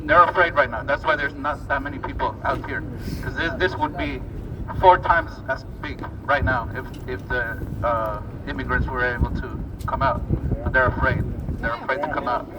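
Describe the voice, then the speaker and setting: A man from Ecuador speaking haltingly and earnestly as he gives personal testimony at a rally. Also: mid-range voice. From born in Ecuador, moved as a child. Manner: hesitant, earnest. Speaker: protest speaker. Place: outdoors on a city street. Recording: distant noisy outdoor recording.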